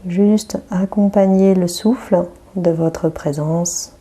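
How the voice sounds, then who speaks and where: A woman leads a guided relaxation in a very dark, mellow voice. She speaks softly and with plenty of breath, moving very slowly through calm, gentle instructions. Long pauses separate her unhurried phrases. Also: lowish voice, slightly rough. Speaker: yoga nidra guide.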